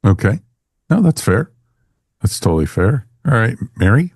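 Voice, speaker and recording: A man's voice: on the low side, big pitch swings, normal speed, a little mellow, breathy edge, dry recording. A man hosting a podcast in casual panel chat. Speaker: podcast host.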